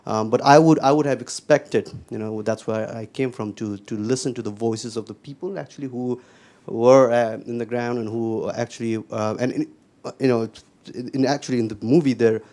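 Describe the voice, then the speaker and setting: A young man from Nepal with a Nepali accent, asking an unscripted question at a panel discussion in a halting way, often repeating words. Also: medium pitch, darkish tone. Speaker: audience member, PhD student.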